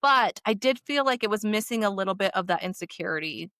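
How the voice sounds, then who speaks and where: A woman co-hosting a podcast, chatting casually in an animated, chatty way. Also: medium pitch, quick pace, dry recording, clean studio sound. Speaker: podcast co-host.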